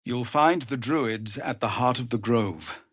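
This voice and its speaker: An actor playing a weary, dutiful tiefling ex-soldier who leads refugees in a video game, speaking in a grave, measured, earnest way. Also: male voice, medium pitch, a bit bright, breathy edge, no echo, clean studio sound. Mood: earnest, pleading. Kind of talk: dramatic video game character acting.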